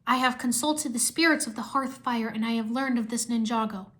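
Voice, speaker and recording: Female mystic sage, read by a woman: solemn, formal, measured fantasy counsel. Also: a little high, fairly bright tone, natural voice. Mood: grave.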